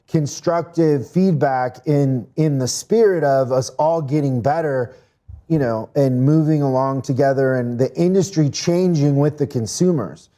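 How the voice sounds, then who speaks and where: Man, grew up in Mexico, podcast guest, earnest, repeats words, raised pitch, mellow tone. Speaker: guest, business speaker. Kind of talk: casual interview talk.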